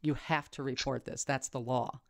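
A man's voice: mid-range voice, fairly even intonation, normal speed, creaky, a bit bright, dry recording, clean studio sound. A man hosting an investigative interview, asking probing questions and stressing key points emphatically. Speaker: podcast interviewer.

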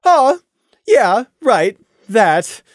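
A woman voicing a bubbly, cheerful female pony officer who talks loudly and pushily. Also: on the high side, sing-song, very slow, dry recording, clean studio sound, pitched above the performer's own voice, exaggerated voice. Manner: chirpy, pushy, loud.

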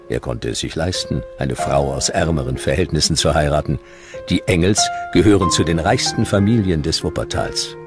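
Very deep-voiced male documentary narrator. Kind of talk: scripted historical narration. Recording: music behind the narration.

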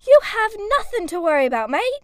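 Woman putting on a high, perky Aussie-style cartoon girl. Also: very animated, a little fast, dry recording, clean studio sound. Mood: cheeky, reassuring. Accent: attempted Australian. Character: perky young girl in an Australian-style accent, cartoon fan dub. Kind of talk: fan comic dub voice acting.

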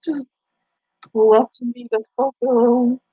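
A woman's voice: a little high, fairly even intonation, takes it slowly, warm tone, husky, no room sound. A woman in her twenties with a Boston accent, trash-talking her chat on a live stream from a small bedroom through a headset mic. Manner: brash, profane trash talk. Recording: dull lo-fi stream audio.

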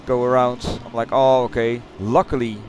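A middle-aged man with a Dutch accent, chatting loosely through a replay of his racing game run, halting and correcting himself as he goes. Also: mid-range voice, flat delivery. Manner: halting, rambling, self-correcting. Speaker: sim racing streamer. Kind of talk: casual replay commentary. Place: home gaming room.

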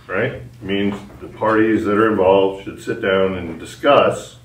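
A man's voice: low voice, steady tone, measured pace, slight background noise. A man making blunt, emphatic remarks as a council member at a public meeting. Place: indoor council chamber. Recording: echoey room, distant mic.

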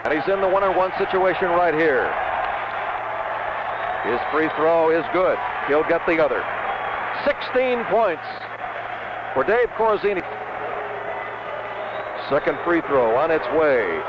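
A man calls a basketball game live for radio in a high-pitched voice, in short, clipped running phrases. The sound is a dull, noisy 1970s broadcast recording. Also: lively intonation, slow pace, pauses often, a loud crowd. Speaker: radio play-by-play announcer. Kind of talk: live basketball play-by-play commentary. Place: courtside in a basketball arena.

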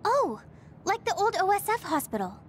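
A woman's voice: very high-pitched, very animated, normal speed, slight background noise. A woman voicing an earnest, girlish young soldier in an anime game, determined but unsure of herself. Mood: earnest, resolute.

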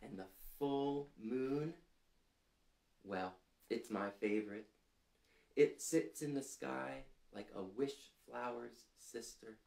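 A man in his thirties hosting a children's storytime, reading aloud and prompting listeners in a gentle, deliberate, clearly phrased way, seated in a small room. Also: medium pitch. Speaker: storytime host reading picture books to children. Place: small furnished room indoors, seated on a couch. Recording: roomy indoor sound.

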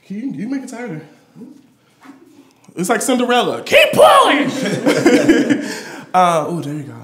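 A man's voice: extremely high pitch, wildly swooping pitch, unhurried, fairly bright tone. A young American man telling candid personal stories live in a playful, sassy, slangy way as a performance artist. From Detroit, USA. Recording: roomy live gallery recording.

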